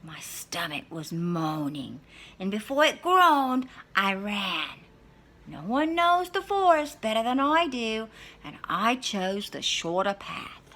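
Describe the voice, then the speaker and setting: A woman's voice: medium pitch, very animated, takes it slowly, sharp tone, full character voice. A woman voicing a hungry, sly male wolf who tells his own storybook tale in a dramatic, scheming, wry way. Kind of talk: storybook read-aloud in character.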